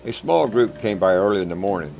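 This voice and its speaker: A man with a very low voice tells a first-person story in a calm, measured, plain-spoken way. Music plays clearly underneath the narration. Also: poor audio. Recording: background music.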